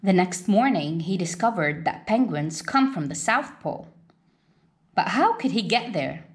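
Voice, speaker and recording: A woman reading a children's picture book aloud as a storyteller, with expressive, dramatic delivery. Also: fairly low pitch, a little slow.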